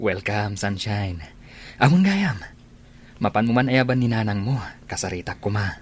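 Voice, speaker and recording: Low-voiced earnest man in love actor. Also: animated, unhurried, breathy edge. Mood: affectionate. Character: earnest, lovelorn man Ferdinand, gentle and persistent. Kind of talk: radio drama acting.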